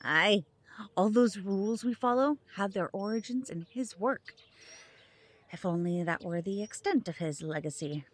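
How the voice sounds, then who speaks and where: Composed, knowing mother, mid-pitched. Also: expressive, measured pace. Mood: storytelling. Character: composed, knowledgeable mother from a magical family.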